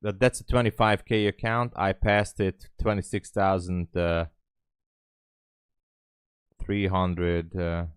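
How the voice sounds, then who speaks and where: A man with a Hungarian accent and a fairly low voice talks casually to his livestream viewers. He rambles and thinks aloud as he goes. The sound is dry, with very little room echo. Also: level tone, slow pace, long pauses, clean tone. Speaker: live trading streamer.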